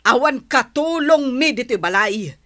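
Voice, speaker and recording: A woman's voice: high-pitched, lively intonation, measured pace, fairly bright tone, dry recording. A woman playing a stern, nagging middle-aged mother in an audio drama. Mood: scolding. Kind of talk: Ilocano audio drama acting.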